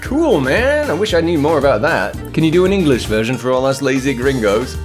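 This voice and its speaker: Man, middle-aged host, animated pitch swings, wry theatrical phrasing, light music underneath. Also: fairly quick. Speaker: host presenting viewer submissions. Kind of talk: playful scripted narration of a showcase video.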